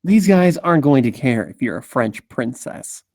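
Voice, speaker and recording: A hardboiled rabbit cop, played by a man, speaking at a middling pitch. He is wry, weary and deadpan, and the recording is close and dry. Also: moderate pace, no room sound, lightly acted. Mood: wry, guarded. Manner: terse, deadpan. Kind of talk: table-read character acting.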